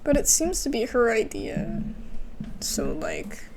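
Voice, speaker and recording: A woman's voice: on the high side, huge pitch swings, takes it slowly, background noise. A woman giving casual gameplay commentary in an informal, slangy way, as a gaming creator. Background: game music.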